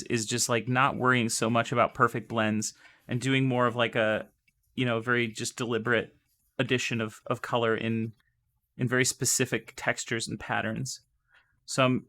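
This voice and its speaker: Hoarse chatty man painting on stream. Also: medium pitch, a bit bright. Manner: chatty and upbeat, talks straight to viewers. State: hoarse, losing his voice. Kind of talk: live-stream painting tutorial.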